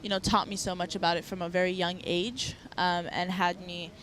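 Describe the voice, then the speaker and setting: A woman's voice: on the low side, little pitch movement, brisk, fairly bright tone, poor audio. Young woman, from Hawaii, Hawaiian first language, humble, lots of fillers, faint noise. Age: young adult. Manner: humble, searching for words with many fillers. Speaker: surfer being interviewed. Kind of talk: post-heat interview.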